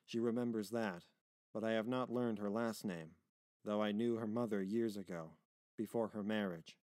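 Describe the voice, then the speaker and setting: A man's voice: fairly low pitch, normal speed, darkish tone, no room sound, studio quality, close to an everyday voice. A man voicing a weary, injured sailor in a storybook, polite and earnest. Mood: calm.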